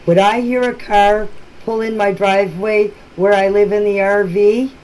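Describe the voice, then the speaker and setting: A woman's voice: mid-range voice, steady tone, unhurried, a little mellow. A middle-aged or older woman speaking plainly and insistently in informal voice messages, often repeating herself. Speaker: local resident answering questions in a group chat. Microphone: voice messages recorded for a group chat. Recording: noisy amateur voice-message clips.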